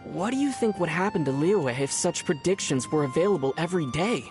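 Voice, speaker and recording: A man as a calm young adventurer over music. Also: a little high, a little fast, lightly acted. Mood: explaining. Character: calm, wry young male adventurer hero. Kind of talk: scripted game dialogue and storytelling.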